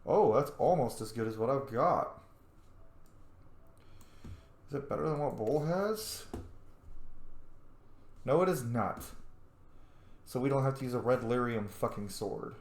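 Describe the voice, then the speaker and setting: Slow-paced, playful man commenting on a game. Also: mid-range voice, animated, a little mellow. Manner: chatty, playful, unfiltered swearing. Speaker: gamer playing and reacting to a story game. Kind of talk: casual gameplay commentary. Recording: echoey room with faint noise.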